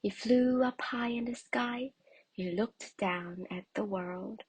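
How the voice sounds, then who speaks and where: A woman reading a children's picture book aloud in expressive, breathy scripted narration. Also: medium pitch, slow pace, no room sound. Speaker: storybook reader.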